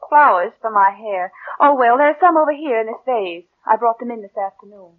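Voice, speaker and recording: An American actress playing a cold, calculating murderess who passes as meek, in controlled, deliberate mid-century radio suspense style. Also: female voice, a little high, expressive, no room sound, lightly acted. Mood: sweetly sinister. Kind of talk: 1940s-50s American radio suspense acting and narration. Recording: muffled vintage radio recording.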